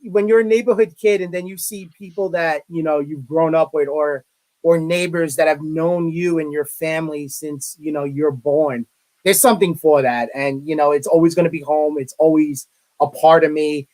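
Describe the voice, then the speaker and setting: A man's voice: a little high, fairly even intonation, normal speed, a little mellow. A middle-aged man with a Brooklyn accent, a guest chatting casually in an interview and often repeating his words. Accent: Brooklyn New York. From Brooklyn, New York. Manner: chatty, repeats words, self-deprecating asides. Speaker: guest, college media relations director.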